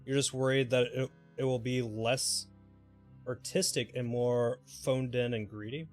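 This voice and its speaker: A man's voice: mid-range voice, steady tone, a little slow. A man chatting casually and informally on a live gaming stream, speculating in a rambling way. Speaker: game livestreamer. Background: background music.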